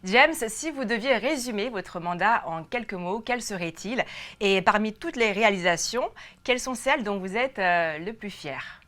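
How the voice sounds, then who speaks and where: Woman, medium-pitched voice, television host, polite, formal prepared interview questions. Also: lively intonation, moderate pace, fairly bright tone.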